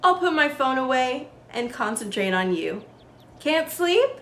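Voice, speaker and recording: A woman voicing an attentive, gentle father in a storybook, soothing and agreeable. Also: sits high, very animated, measured pace, heavily acted. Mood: gentle, reassuring. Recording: roomy echo with faint background noise.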